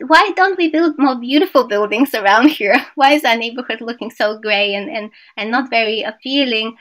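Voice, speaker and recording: Bulgarian accented lady, kinda high voice, close dry sound, talking thru her ideas like an interview guest. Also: moderate pace, a bit bright, no room sound. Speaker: guest, philosopher of science. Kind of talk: reflective interview answers.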